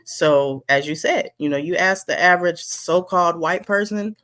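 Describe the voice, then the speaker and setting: This is a woman with a somewhat low voice, speaking as a guest. She talks in an impassioned way and slips into mocking the voices of others. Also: normal speed, fairly bright tone. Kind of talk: passionate talk.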